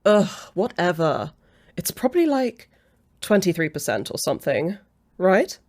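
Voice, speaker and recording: A woman's voice: fairly low pitch, sing-song, a little slow, a little mellow. A woman playing a haughty, jealous female vampire in an ASMR roleplay, with a snippy, sarcastic way of talking. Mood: insistent, flirty. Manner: snippy, sarcastic, easily flustered.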